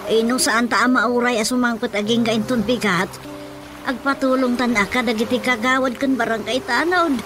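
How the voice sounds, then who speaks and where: An actress as a high-voiced village mother. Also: steady tone, normal speed, natural voice. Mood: concerned. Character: practical, reassuring village mother. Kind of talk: radio drama acting. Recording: music bed under voice. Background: music.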